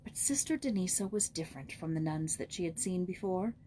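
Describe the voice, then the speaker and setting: A woman with a slightly low voice narrates a children's story expressively. Faint noise sits behind the reading. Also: expressive, a little fast, warm tone, rough recording. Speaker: audiobook reader.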